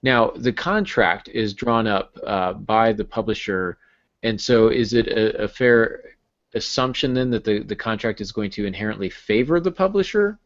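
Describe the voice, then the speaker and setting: A man's voice: fairly low pitch, animated, normal speed, no room sound. A man in his thirties chatting as a podcast co-host over a video call from a room at home. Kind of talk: casual podcast discussion. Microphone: earbuds on a video call. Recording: dull, compressed video-call audio.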